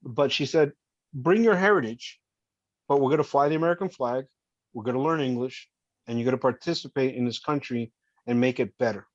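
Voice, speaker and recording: A man's voice: mid-range voice, brisk, no room sound, lo-fi sound. A man in his fifties, born in Colombia and raised in New Jersey, telling his story as a podcast guest in an earnest, heartfelt way. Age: 50s. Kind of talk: casual interview storytelling.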